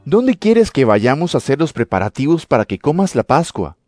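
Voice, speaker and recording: A man's voice: mid-range voice, very animated, moderate pace, a little mellow, dry recording, soft background music, natural voice. A man playing an eager disciple questioning his master in a scripture audio drama. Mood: inquiring.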